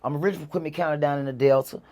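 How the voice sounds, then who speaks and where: A man's voice: a little high, steady tone, racing, darkish tone. Southern man with a Mississippi drawl, slangy. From Mississippi, USA. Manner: slangy, repeats himself, frequent "you know". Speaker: interview guest, rapper. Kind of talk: casual conversational storytelling.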